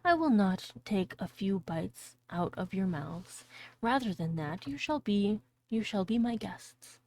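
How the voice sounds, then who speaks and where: A woman's voice: medium pitch, expressive, a little slow, darkish tone. A woman voicing an earnest, proud and generous young male apprentice in a storybook, with old-fashioned phrasing. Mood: generous, insistent.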